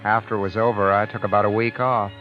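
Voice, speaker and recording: An actor playing a cold, self-satisfied murderer in a vintage radio drama, with a clipped, smug, impatient delivery. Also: male voice, fairly low pitch, flat delivery, fast, close to an everyday voice. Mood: smug, brooding. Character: cold, self-satisfied man who got away with murder. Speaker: actor narrating in first person as the lead. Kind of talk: 1940s-50s radio acting. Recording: dull vintage radio recording with background music.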